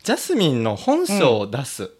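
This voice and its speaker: A man's voice: mid-range voice, wildly swooping pitch, normal speed, a little mellow, breathy edge. A man co-hosting a podcast in casual comic banter, teasing and often laughing as he talks. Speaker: podcast co-host.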